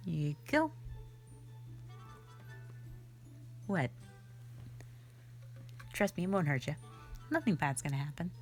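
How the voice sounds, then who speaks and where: A woman's voice: low voice, big pitch swings, a little slow, soft background music, heavily acted. A woman playing a rambling, easygoing, flirty magic mentor in a fantasy roleplay audio drama, talking in a hesitant, offhand way full of asides. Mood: easygoing, inviting.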